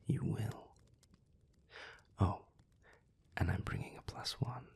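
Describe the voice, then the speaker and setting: A sly, infuriating vampire man, performed by a male voice actor in an audio roleplay. He speaks in a breathy half-whisper with a creaky low register, drawing out his words with theatrical, taunting politeness. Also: slow pace, darkish tone, heavily acted. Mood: smug, teasing. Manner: arch, drawling, taunting formality.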